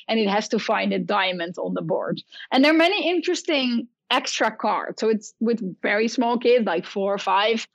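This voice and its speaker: A woman's voice: a little high, no room sound, dull audio. A woman with a Dutch accent, a guest on a podcast, enthusiastically explaining programming education with examples. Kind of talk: podcast interview.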